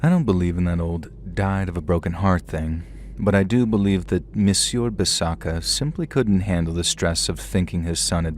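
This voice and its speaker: A man's voice: deep voice, a little mellow, a little hiss or hum. A man narrating a first-person horror story in a conversational storytelling manner, with casual asides to the listener.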